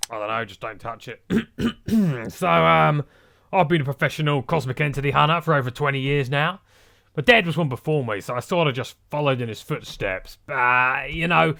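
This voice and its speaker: A gravelly British monster hunter acted by a man, blustering and blunt, with a forceful edge to the voice. He is a middle-aged veteran talking casually to camera in an animated mockumentary, bossy and deadpan about his own exploits. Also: mid-range voice. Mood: gruff, boastful.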